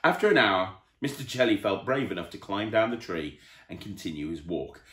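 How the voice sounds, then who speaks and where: Very animated male storyteller, echoey room. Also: on the low side, normal speed. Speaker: children's entertainer reading a story. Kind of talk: animated children's storybook narration. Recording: roomy, echoey indoor sound.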